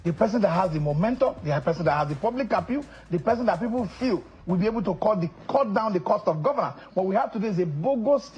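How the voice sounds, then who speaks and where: A man's voice: sits high, expressive, fast, hardly any pauses, a little mellow, a little hiss or hum. A middle-aged man from northern Nigeria with a Nigerian accent, a political guest in a heated interview who insists on his point and often repeats words as he presses it.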